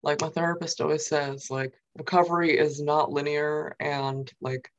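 A woman's voice: low voice, little pitch movement, moderate pace, lo-fi sound. A young woman from New York, talking casually as an interview guest and filling her speech with "like" and "um". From New York, USA. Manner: rambling, many fillers and "like". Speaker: guest poet.

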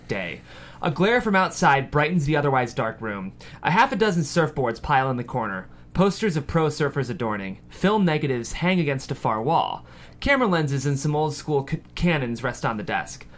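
Mid-pitched man reading screenplay narration. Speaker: stage-direction narrator. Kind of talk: scripted screenplay narration at a table read.

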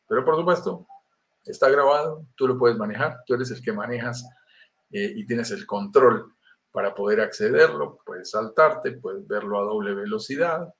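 A man's voice: mid-range voice, a bit bright. Colombian male presenter, middle-aged, mid-range voice; explanatory live-stream delivery, talks straight to the viewer, some "eh" fillers. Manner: explanatory, addresses viewer directly, frequent "eh" fillers. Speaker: real estate investment presenter.

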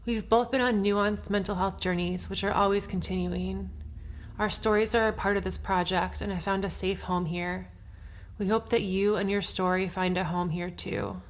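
A woman co-hosting a podcast, reading a calm, measured welcome. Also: mid-range voice. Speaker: podcast co-host. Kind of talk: scripted podcast intro. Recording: music bed behind the voice.

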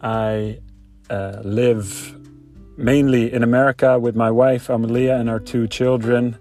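Man in his thirties, flat delivery. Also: medium pitch, a little slow, soft background music. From Netherlands. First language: Dutch. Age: 30s. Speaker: entrepreneur introducing himself. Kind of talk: personal self-introduction.

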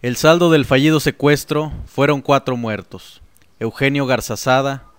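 A man's voice: mid-range voice, moderate pace, smooth, no echo, studio quality. A man with a Mexican accent narrating a scripted radio chronicle in a formal, serious manner.

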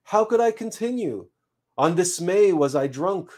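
A lost, gloomy little seed from a storybook, acted by an adult reader. It speaks courtly rhyme in a pleading way, with slightly raised pitch and big swings in intonation. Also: expressive, unhurried, darkish tone. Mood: despairing. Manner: archaic, courtly rhyming speech. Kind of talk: storybook verse recital.

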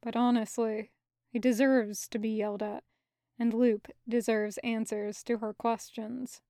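A woman's voice: a little high, little pitch movement, a little slow, mellow, no echo, clean studio sound. A woman reading scripted fiction as the narrator of an audio story. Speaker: podfic narrator.

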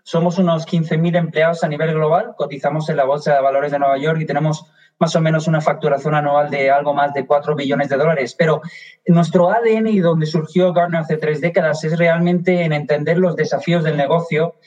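Spaniard on a dull video call. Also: male voice, on the high side, fairly even intonation, brisk, darkish tone. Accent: Spanish from Spain. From Spain. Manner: friendly, joking, then explanatory. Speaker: guest expert. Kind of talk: interview answers.

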